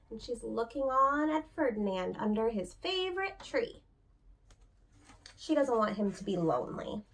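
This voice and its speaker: Female preschool story reader: high register, very lively intonation, expressive delivery aimed straight at little kids. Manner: expressive, talks directly to young listeners with questions. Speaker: preschool teacher reading aloud. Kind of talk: animated picture-book read-aloud for young children.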